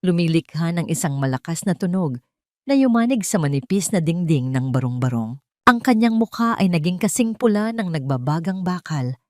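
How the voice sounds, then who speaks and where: A woman's voice: fairly low pitch, sing-song, normal speed, mellow, no echo. Dry-sounding woman, dramatic story narrator.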